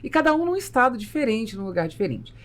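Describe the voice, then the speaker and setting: A Brazilian woman hosting a podcast in a conversational interview style, telling things in an animated way. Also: a little high, fast talker. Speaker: podcast host.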